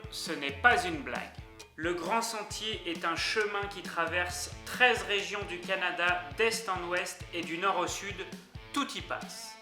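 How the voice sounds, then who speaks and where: A man's voice: high-pitched, pushed and bright. A young man narrating a travel list video in an upbeat way, speaking directly to his viewers. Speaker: YouTuber. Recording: echoey room with music underneath.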